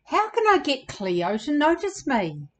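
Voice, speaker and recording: A woman's voice: sits high, huge pitch swings, a little slow, a bit bright, a little hiss or hum, voice pushed up, exaggerated voice. A woman voicing an earnest, insecure male camel with big plans in a children's storybook, plaintive and eager.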